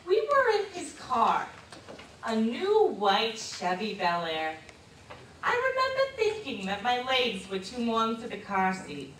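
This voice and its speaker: An actress playing a reticent, embarrassed old lady reminiscing about her youth in a theatrical stage monologue, hesitant and confiding with dramatic pauses. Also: on the high side, a bit bright, heavily acted. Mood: wistful. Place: live stage performance in a hall. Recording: distant mic in echoey room, noisy.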